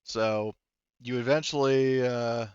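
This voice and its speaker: A man's voice: medium pitch, steady tone, takes it slowly, clear, resonant tone, dry recording, lo-fi sound. A man talking casually as game master of a tabletop game, narrating and going over rules in an informal, sweary way.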